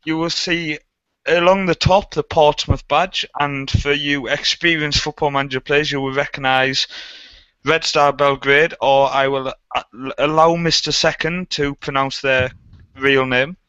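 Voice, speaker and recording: A man hosting a podcast with a bright, forceful, slightly high voice, chatty and joking as he talks. The sound is dry and close, with a dull lo-fi online-call quality. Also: fairly even intonation, measured pace, clean tone, dry recording. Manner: jokey, laughs often, chatty. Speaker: podcast host. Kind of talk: casual chat.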